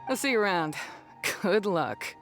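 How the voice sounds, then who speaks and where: A woman's voice: mid-range voice, very animated, unhurried, faint music behind. A woman voicing an aloof, blunt young female beast tamer in a video game, curt, dry and scornful. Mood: coolly sarcastic.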